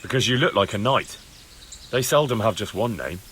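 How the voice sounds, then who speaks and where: A man's voice: fairly low pitch, lively intonation, moderate pace, a bit bright, a little hiss or hum. An actor playing a bold, earnest young investigator, blunt and insistent with his questions, in a video game. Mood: wary, curious. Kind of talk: dramatic video game acting. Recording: music behind the voice.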